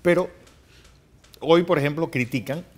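A middle-aged man with a slightly high voice hosts a political segment on TV news. He talks conversationally, chiming in with brief additions and follow-up points. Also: measured pace. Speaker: TV news host. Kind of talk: conversational interview with short interjections. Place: TV news studio.

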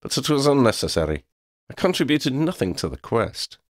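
A man's voice: mid-range voice, big pitch swings, a little fast, no room sound, studio quality, pitched above the performer's own voice, lightly acted. A man voicing a proud, lordly talking male cat in a storybook, with pompous, formal wording. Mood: sombre confession. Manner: pompous, formal wording with Scottish turns of phrase.

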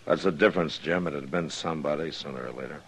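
An actor playing a steady, stern frontier marshal who speaks deep, calm and terse, in a 1950s radio drama. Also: male voice, quick pace, husky, full character voice. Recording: hissy 1950s radio recording.

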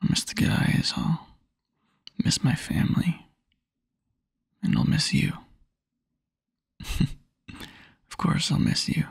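A man playing a tender, wistful young boyfriend in an intimate ASMR roleplay, murmuring softly and haltingly in a husky near-whisper. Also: a little high, huge pitch swings, dry recording, studio quality, heavily acted. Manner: soft, halting, intimate murmur with small chuckles. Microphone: close microphone, dry.

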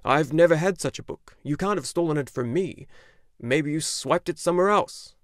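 A man voicing a grumbling old male bookseller in an audiobook, with a gruff manner. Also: a little high, normal speed, no echo, clean studio sound, voice pushed up, natural voice. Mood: suspicious. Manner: gruff, puffing a pipe. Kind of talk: audiobook character voice.

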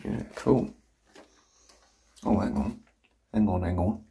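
Deep voiced guy poking around an abandoned house, going wow at everything, talking to the camera. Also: slow, vocal fry, a little hiss or hum. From England. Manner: excited, marvelling asides to viewers. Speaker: urban explorer host. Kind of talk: walkthrough vlog narration. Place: empty house, indoors.

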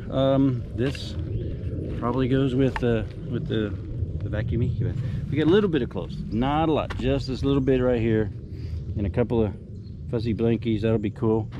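Guy chatting loosely over his storage unit finds outside, little jokey asides, outdoor noise all around. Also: mid-range voice. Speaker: storage unit reseller vlogger. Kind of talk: casual walkthrough commentary. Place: outdoors on a rural lawn.

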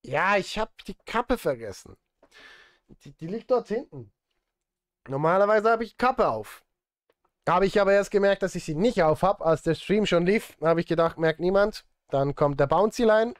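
Male streamer, thirties, slightly high voice, informal and muttering to himself while working, close dry studio recording. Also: expressive, moderate pace, no room sound. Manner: informal, thinking aloud, murmured asides. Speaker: livestreamer and film composer. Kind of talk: casual livestream chat, muttering while working. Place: small home music studio, indoors.

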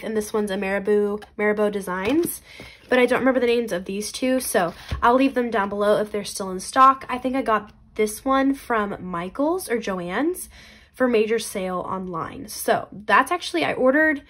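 A young woman, congested from a cold, chatting in a bubbly, casual way while showing her planners. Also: fairly high pitch. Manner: bubbly, chatty, many fillers. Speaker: planner vlogger. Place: indoors at a desk. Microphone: camera overhead, hands only seen.

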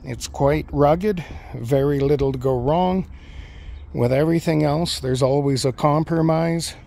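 A man's voice: a little high, unhurried, dark tone. A man giving an informal, matter-of-fact walkthrough of his gear, explaining each piece as a hobbyist. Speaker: amateur radio hobbyist presenting gear. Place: outdoors on a lawn. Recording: outdoor recording with clear background noise.

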